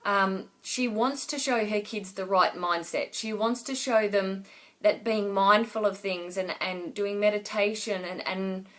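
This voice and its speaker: Woman, business coach on a live stream, chatty and rambling, slightly hoarse from a cough. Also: mid-range voice, steady tone, unhurried. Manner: rambling, many fillers and restarts. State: losing her voice with a cough. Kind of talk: casual Facebook Live talk to viewers.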